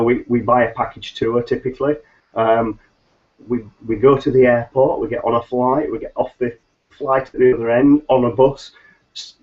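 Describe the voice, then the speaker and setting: Middle-aged guy, stuffy nose and a bit rough, ums a lot, muffled webcam sound. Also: medium pitch. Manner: rambling with frequent ums and restarts. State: nasal and a little hoarse from hay fever. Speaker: business consultant host. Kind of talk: solo webcast talk. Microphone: webcam mic on a video call. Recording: slightly dull lo-fi webcam audio.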